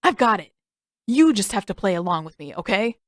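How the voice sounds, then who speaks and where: A woman's voice: mid-range voice, sing-song, brisk, lightly acted. A woman voicing an intense, suspicious teenage boy in an audiobook, emphatic and insistent. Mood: confident, scheming. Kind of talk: acted audiobook dialogue.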